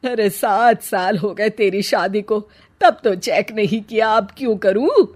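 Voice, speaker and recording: A woman voicing a sharp-tongued older mother-in-law in a cartoon story, loud and emphatic. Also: mid-range voice, animated, a little slow. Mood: brisk.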